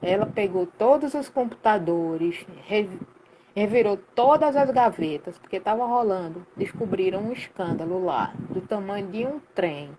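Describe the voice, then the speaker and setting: A woman in her late thirties from Recife with a Pernambuco accent, telling a story in a lively, colloquial way full of slang during a casual live chat. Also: medium pitch, normal speed, background noise. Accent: Pernambuco Portuguese. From Recife, Brazil. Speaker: guest, sound professional.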